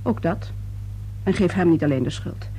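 Actress as composed middle-aged mother, radio drama, soft dark tone, measured resignation, slight background noise. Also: lowish voice, lively intonation, close to an everyday voice. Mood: resigned, dry. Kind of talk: radio play acting. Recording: noisy old radio play recording.

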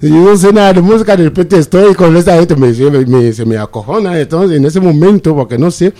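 A man's voice: fairly high pitch, expressive, mellow. A middle-aged man from Nigeria whose first language is Yoruba, a guest musician chatting on the radio and often restarting his words. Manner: halting, repeats words and restarts. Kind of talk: casual radio chat.

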